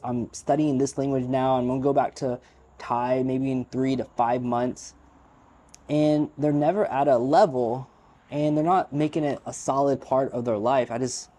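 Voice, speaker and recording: Frank, rambling man with a mellow voice. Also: mid-range voice, slight background noise. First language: English. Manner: frank, opinionated, rambling with fillers. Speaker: vlogger. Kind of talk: unscripted casual monologue.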